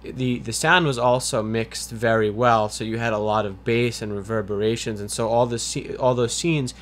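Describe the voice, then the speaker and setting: A man co-hosting a review show, giving conversational criticism in a measured, analytical, wordy manner, with noise behind him. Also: mid-range voice, fairly even intonation. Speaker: film review show co-host. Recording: clear background noise.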